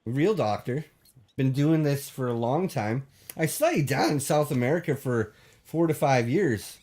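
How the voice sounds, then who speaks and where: A man hosting a call-in show, bantering deadpan with a caller, full of hesitations and restarts. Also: mid-range voice, unhurried. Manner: hesitant, full of ums and restarts, straight-faced.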